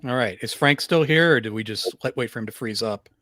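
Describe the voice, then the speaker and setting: A man's voice: medium pitch, brisk, bright, forceful tone, dry recording. A man bantering casually as a podcast guest, with a joking, teasing and self-deprecating manner.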